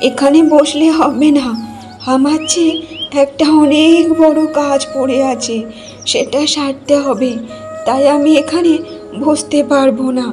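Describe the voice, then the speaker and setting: Woman acting a weary old granny, kindly, high mellow voice, halting delivery, cartoon fairy tale. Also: fairly even intonation, soft background music. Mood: gentle, solemn. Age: older.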